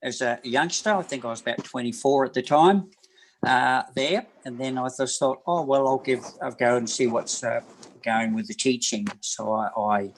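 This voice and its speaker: A man in his sixties from New Zealand, a massage therapist telling his life story in an interview, rambling and halting with many fillers and restarts. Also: mid-range voice, a little hiss or hum, poor audio. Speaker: guest, massage therapist and personal trainer. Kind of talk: casual interview talk.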